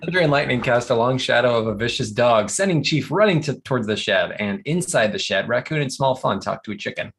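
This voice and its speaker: Man reading stage directions, middling pitch. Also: animated, a little fast, gravelly, hardly any pauses, fairly bright tone. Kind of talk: scripted narration, table read.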